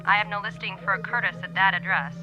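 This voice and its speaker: A woman playing a brisk, impersonal telephone operator in a radio drama, heard through a phone filter. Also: medium pitch, steady tone, dull audio, soft background music.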